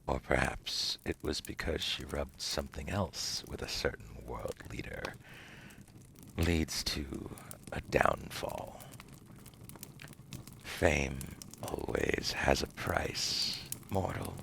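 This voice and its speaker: An ancient devil acted by a man murmurs in an extremely deep, gravel-laden voice that often drops into breathy whispers. He is slow and deliberate, smug and seductive, with silky menace and formal, theatrical phrasing. It plays as intimate ASMR roleplay. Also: a bit bright, a little hiss or hum, exaggerated voice. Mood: smug, ominous. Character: seductive ancient male demon dealmaker, smug, silkily menacing.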